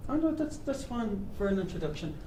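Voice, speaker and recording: A man's voice: high-pitched, sing-song, fairly quick, mellow. An actor playing an earnest male therapist who leads an anger-management group and talks with many hesitant fillers. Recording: echoey room, distant mic, noisy.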